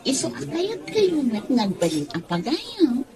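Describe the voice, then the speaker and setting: A woman's voice: high-pitched, huge pitch swings, a little slow, a little mellow, exaggerated voice. A woman with a Filipino accent playing a sweet, friendly lady in a radio drama.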